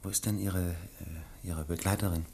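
Deep-voiced, composed chief physician. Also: male voice, steady tone, moderate pace, darkish tone, breathy edge. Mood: grave, clinical. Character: composed male chief physician giving a careful diagnosis. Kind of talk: German radio mystery drama acting.

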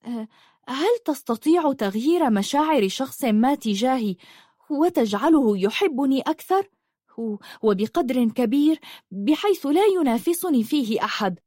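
Storybook princess, young, lonely, high and plaintive, hesitant delivery, very close dry sound woman reader performing. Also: expressive, moderate pace, a little mellow, dry recording. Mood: hesitant, pleading. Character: sad, beautiful young princess, shy and lonely.

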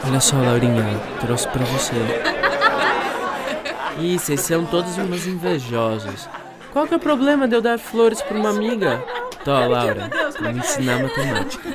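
A man's voice: mid-range voice, sing-song, normal speed, natural voice. Radio play part: cheeky, slangy young schoolboy acted by a man, over music and a noisy crowd. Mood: teasing. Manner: teasing, slangy, casual. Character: Marquinho, cheeky teasing schoolboy, poor at maths. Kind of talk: scripted radio drama acting.

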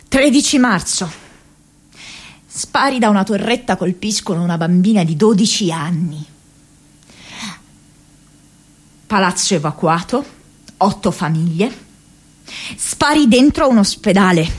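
An actress playing a restless, ironic young woman activist in a radio drama, reading her diary in an expressive, hesitant, conversational way. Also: medium pitch, husky. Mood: grave, stark. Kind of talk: theatrical radio monologue, intimate diary reading.

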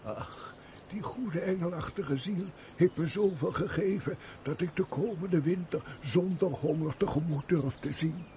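An actor playing a pious, frail peasant of about seventy in a theatrical radio play, with an aged voice and emotive sighs. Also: male voice, on the high side, warm tone, close to an everyday voice. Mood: humble. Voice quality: creaky, aged voice. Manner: slow, emotive, full of sighs and exclamations. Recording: faint background noise, old radio play.

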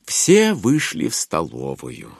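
A man narrating a literary audio drama in an expressive storytelling manner. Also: deep voice, takes it slowly, vocal fry, slightly rough, no room sound, clean studio sound, full character voice.

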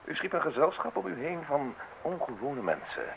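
An actor in a radio play playing a polite, insinuating state official with a formal, measured way of speaking. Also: male voice, a little high, sing-song, creaky, a bit bright, full character voice. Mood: measured, accusing. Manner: formal, measured, courteous, deliberate phrasing. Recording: hissy, noisy old radio recording.

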